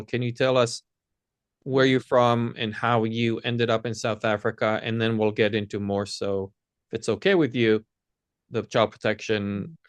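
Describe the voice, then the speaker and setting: A man interviews a guest in a gentle, conversational way, easing into his questions with tentative, considerate phrasing. His voice is smooth and clean, recorded close and dry. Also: mid-range voice, dry recording. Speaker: interviewer, host.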